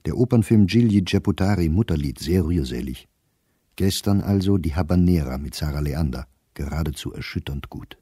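An actor playing an educated middle-aged diarist, bitter and reflective, reading his diary in a measured, sardonic way for a radio play. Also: low voice, animated, warm tone, husky, no room sound.

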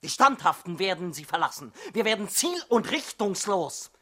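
High, tense young male idealist. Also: big pitch swings, moderate pace, bright, forceful tone, heavily acted. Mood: accusing, earnest. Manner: earnest, indignant, challenging. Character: young male idealistic, uncompromising party intellectual. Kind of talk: theatrical radio drama acting.

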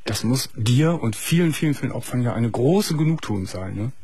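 A man's voice: mid-range voice, lively intonation, moderate pace, dark tone, breathy edge, dry recording. A man hosting a call-in radio show, asking attentive, probing questions and encouraging the caller to go on. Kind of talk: late-night call-in radio talk.

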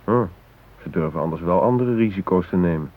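Deep-voiced, resigned male hostage. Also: brisk. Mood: anxious. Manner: reflective, hesitant. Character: resigned, anxious male hostage with wry fatalism. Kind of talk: naturalistic radio drama acting. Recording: noisy, narrow-band old radio recording.

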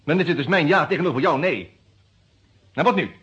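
A sardonic, husky-voiced lawyer, lively intonation. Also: male voice, on the high side, a little fast, heavily acted. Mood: sardonic, stubborn. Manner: mock-formal, challenging, with hesitant murmurs. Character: proud, sardonic male lawyer, stout and greying, under suspicion. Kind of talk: Dutch detective radio drama acting. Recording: dull lo-fi old radio recording.

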